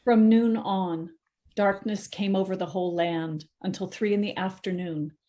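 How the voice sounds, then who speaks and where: A woman narrating a Bible passage at a church service. Her reading is steady and measured, with an occasional stumble that she corrects. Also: mid-range voice, lo-fi sound. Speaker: scripture reader.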